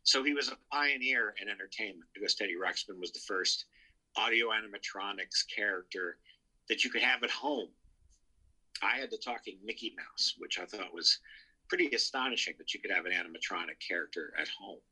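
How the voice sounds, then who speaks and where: A man's voice: on the low side, brisk, pushed and bright, breathy edge, poor audio. A man contributing to a talk show, reminiscing in an emphatic, anecdotal, conversational way. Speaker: show contributor, entertainment writer.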